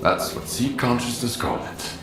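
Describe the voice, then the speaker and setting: An actor playing a stern, world-weary outcast in a video game, terse and accusing. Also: male voice, mid-range voice, very animated, normal speed, vocal fry, roomy sound, background noise. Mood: grim, explaining. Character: grim, world-weary male outcast of a forbidden zone. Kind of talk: video game cutscene acting.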